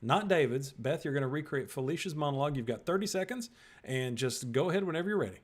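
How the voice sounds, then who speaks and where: A man hosting improv games over a video call, lively and quick with asides and frequent laughs. His voice sits fairly high. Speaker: improv show host.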